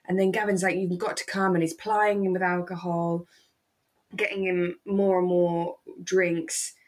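A woman's voice: lowish voice, little pitch movement, measured pace. A woman co-hosting a podcast, chatting casually about a radio drama. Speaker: podcast co-host.

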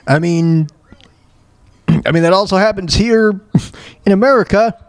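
A man's voice: high voice, slow, no echo. A man hosting a casual talk show, reacting in a folksy way and often groping for words and repeating himself. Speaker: show host.

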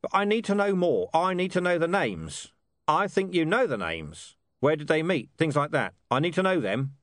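A man voicing a gruff, stubborn police commander in an audiobook, sardonic and pressing. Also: a little high, sing-song, normal speed, a bit bright, dry recording, clean studio sound, voice pushed up. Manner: sardonic, pressing, growling at times. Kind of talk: audiobook character dialogue.

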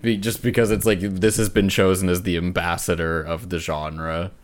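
Male co-host, notably deep voice, informal gaming chat, stop-and-start phrasing. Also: flat delivery, normal speed. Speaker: podcast host. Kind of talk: casual chat about video games.

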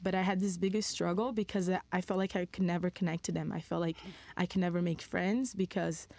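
Reflective young woman from Brazil, low voice. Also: little pitch movement, creaky, a little mellow. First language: Portuguese. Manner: reflective, halting, many "like" fillers. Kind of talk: podcast conversation.

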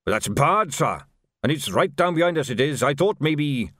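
A man voicing a folksy, deferential ageing army sergeant with rough grammar in an audiobook. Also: on the high side, expressive, normal speed, a bit bright, dry recording, studio quality, voice pushed up. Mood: respectful, doubtful. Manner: folksy, ungrammatical, barks at recruits. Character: deferential ageing sergeant, cheerful old soldier drilling volunteers. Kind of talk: audiobook character voice.

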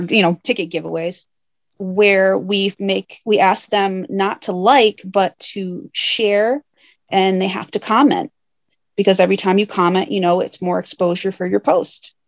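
A young woman talks in a casual interview, recorded dry and close with no room sound. She is friendly and laughs easily, filling pauses with ums and uhs. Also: mid-range voice, vocal fry, dry recording. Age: young adult. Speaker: haunted house owner.

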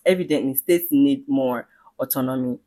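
Animated woman, quick to laugh. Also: extremely low pitch. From Nigeria. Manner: animated, laughs often, emphatic. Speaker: podcast guest. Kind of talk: casual political chat.

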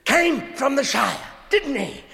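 An actor playing a wretched, slimy creature in a radio drama, croaking and whining as he mutters and wheedles to himself. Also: extremely high pitch, very animated, slow pace, over music, heavily acted. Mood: aggrieved, spiteful. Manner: muttering to himself, repeating words, wheedling tag questions.

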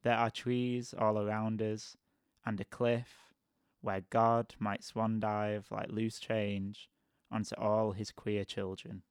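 A man reading his own poetry aloud slowly and evenly, then chatting as a podcast guest in a measured, even tone. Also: on the low side, clear, resonant tone, no room sound, clean studio sound. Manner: slow, measured, even delivery. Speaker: guest poet.

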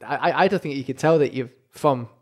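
A man's voice: mid-range voice, quick pace. A man hosting a casual interview, asking questions and often agreeing. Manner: encouraging, frequent backchannel agreement, asks questions. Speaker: podcast host.

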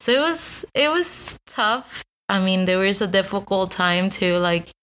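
A woman's voice: medium pitch, lively intonation, measured pace, fairly bright tone, a little hiss or hum. A woman from Austin, Texas, whose first language is Spanish and who speaks with a Spanish accent, co-hosting a podcast in an earnest, open way. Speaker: podcast co-host. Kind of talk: casual chat.

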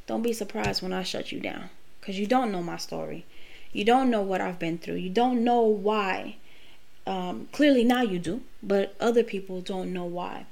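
A woman's voice: mid-range voice, vocal fry, a little mellow. A woman in her late thirties, talking candidly and emphatically with plenty of profanity in a personal podcast monologue. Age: late 30s. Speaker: podcast host.

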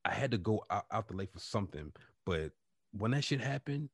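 A man with a deep voice telling an animated story in casual chat with friends, swearing freely and stumbling on restarts. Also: a little fast, gravelly, no room sound, clean studio sound. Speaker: podcast co-host telling a story.

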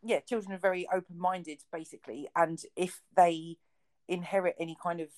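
A woman's voice: lowish voice, fairly even intonation, brisk, a little mellow. A woman based in Kent, co-hosting a casual podcast chat, friendly and laughing often as she asks questions. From England (Kent). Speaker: podcast co-host.

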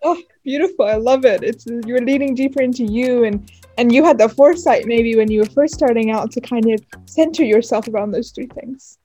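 A woman's voice: a little high, fairly quick, mellow, faint music behind. A woman interviewing a musician, affirming her often and asking long questions. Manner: affirming, repeats "beautiful".

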